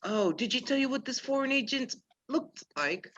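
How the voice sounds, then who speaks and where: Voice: high-pitched, animated, moderate pace, a bit bright. Dull-sounding, suave villainous professor, acted by a performer. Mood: probing. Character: suave, menacing male professor, secret spy chief. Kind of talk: radio play acting. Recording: dull lo-fi virtual recording.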